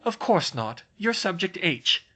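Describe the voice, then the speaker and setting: A man doing a brisk, earnest schoolgirl. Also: sits high, very animated, sharp tone, voice pushed up, exaggerated voice. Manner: brisk, persuasive.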